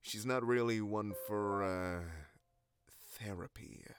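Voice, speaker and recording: An actor playing an earnest, diplomatic roommate in an audio sitcom, careful and a little evasive. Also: male voice, lowish voice, steady tone, slow. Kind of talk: sitcom audio theatre acting.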